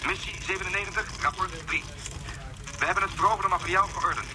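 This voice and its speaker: An actor in a Dutch radio drama playing an efficient spaceship officer, giving clipped, formal reports over a radio link. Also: male voice, high-pitched, fast, background noise. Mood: businesslike.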